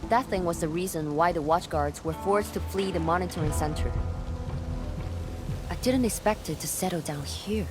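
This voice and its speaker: A woman's voice: lowish voice, moderate pace, music in the background, close to an everyday voice. An actress voicing a stern, confident female guard officer in a video game, curt and commanding with dry teasing. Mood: businesslike. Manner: curt, commanding, dryly mocking.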